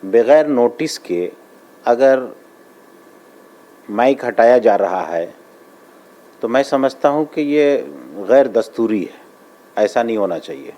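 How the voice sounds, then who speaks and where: A man speaks slowly in a mid-pitched voice. He gives an unscripted statement, as an interviewee would in a news report. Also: expressive, mellow, poor audio. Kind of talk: spontaneous spoken statement.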